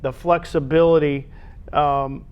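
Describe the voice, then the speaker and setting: A man hosting a conversational sports show, with halting phrasing that often repeats itself. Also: a little high, flat delivery, smooth, dull audio. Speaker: sports talk show host.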